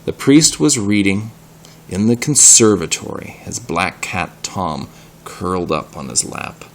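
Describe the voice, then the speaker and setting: A man reading a story aloud in an animated style, pausing to explain words and ask questions. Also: low voice, creaky, slight background noise.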